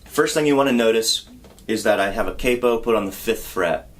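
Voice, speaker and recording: A man teaching a song on guitar, explaining step by step in a patient, relaxed way and counting beats aloud. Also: fairly low pitch, fairly quick. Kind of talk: guitar tutorial. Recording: loud guitar under the voice. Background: acoustic guitar playing.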